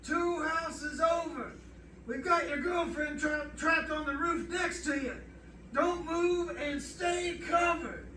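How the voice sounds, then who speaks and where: High, piercing man as a tough cop calling out. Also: steady tone, exaggerated voice. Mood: commanding. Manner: calling out loudly, deliberate. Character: tough police detective, commanding and sardonic. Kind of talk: old-time radio crime drama acting. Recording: roomy distant stage recording, faint noise.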